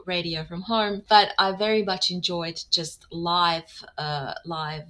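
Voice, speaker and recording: A woman's voice: lowish voice, fairly even intonation, takes it slowly, sharp tone. A woman from Slovenia with a Slovenian accent, a native Slovenian speaker, talking about her own experience as an interview guest in a conversational way. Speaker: podcast guest, former radio broadcaster. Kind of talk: conversational interview, personal recollection.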